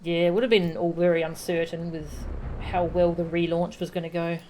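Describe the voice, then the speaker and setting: A woman's voice: on the low side, level tone, a little fast, a little mellow, a little hiss or hum, poor audio. A woman streaming a video game, chatting casually as she plays. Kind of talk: casual live stream chat and reading game dialogue aloud.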